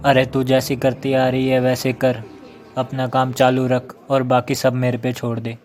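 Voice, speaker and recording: Man acting as slow, commanding horror-story figure. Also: mid-range voice, monotone, darkish tone, lightly acted. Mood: bossy. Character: exorcist priest chanting mantras, and scheming gang boss. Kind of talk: dramatized Hindi kids' horror story. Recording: music behind the voice. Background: music.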